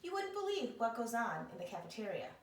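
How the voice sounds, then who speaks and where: A self-pitying, indignant pet dog, played by a woman pleads and grumbles theatrically in an expressive storybook reading. The sound is echoey, picked up at some distance from the microphone. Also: a little high, fast. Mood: aggrieved complaining. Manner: theatrical, wheedling complaint. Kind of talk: expressive picture-book read-aloud.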